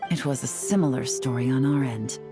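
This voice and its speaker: A woman's voice: a bass voice, brisk, over music. An actress voicing a cool, pragmatic female investigator in a video game, matter-of-fact in manner.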